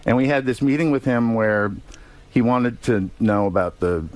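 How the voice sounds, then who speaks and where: A man telling casual anecdotes as an interview guest, hesitant and halting, with many ums and self-corrections. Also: medium pitch, dry recording, rough recording. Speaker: radio show guest, TV comedy writer-producer.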